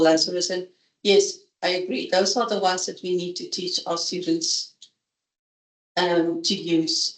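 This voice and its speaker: A woman professor speaking candidly and conversationally at a seminar, sharing her opinions openly. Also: fairly low pitch. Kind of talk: seminar talk. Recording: echoey conference room.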